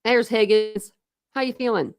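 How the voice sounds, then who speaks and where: A woman's voice: a little high, clean tone, no echo, clean studio sound. A woman hosting a casual true crime livestream in a rambling, chatty way, answering viewers. She has a mixed Midwest and Southern American accent. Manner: chatty, rambling, quick asides with laughs. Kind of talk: casual livestream chat reading comments.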